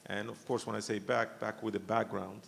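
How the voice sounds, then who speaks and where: A man's voice: lowish voice, little pitch movement, normal speed, gravelly, long reverb tail. A man from Morocco speaking on a conference panel, thoughtful and full of restarts and fillers. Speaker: panelist, company founder. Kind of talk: panel discussion. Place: university conference hall.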